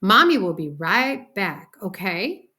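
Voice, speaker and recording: A woman voicing a doting, gentle mother in a children's story, soothing and cooing. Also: mid-range voice, very animated, slow pace, heavily acted. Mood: coaxing.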